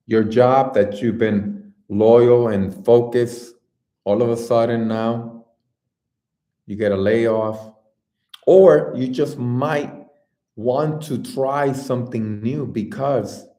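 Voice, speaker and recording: A man coaching his listeners through an earnest motivational talk, speaking straight to them with steady encouragement. His voice is warm and mellow, and he takes his time over each phrase. The room around him rings with a noticeable echo. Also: medium pitch. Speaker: life coach.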